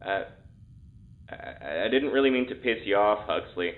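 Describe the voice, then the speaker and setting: An actor plays a sardonic man stuck as a test subject in an audio drama. His low voice is deadpan and flippant, often muttered. It comes through narrow and tinny, like a phone line. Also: moderate pace, lightly acted. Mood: sheepish. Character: sardonic, irreverent young male test subject. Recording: thin, phone-like intercom sound.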